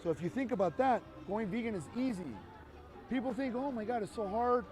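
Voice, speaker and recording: Street activist, male, high voice, emphatic and lecturing, outdoors with faint music behind. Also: a little fast, a little mellow. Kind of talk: persuasive street conversation.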